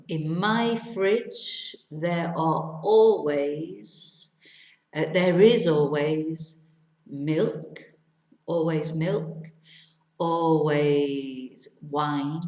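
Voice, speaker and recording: A middle-aged woman, teaching to Spanish speakers, repeating each question slowly and clearly. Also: low voice, a little mellow, echoey room. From England. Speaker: English teacher. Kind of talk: language lesson for Spanish speakers.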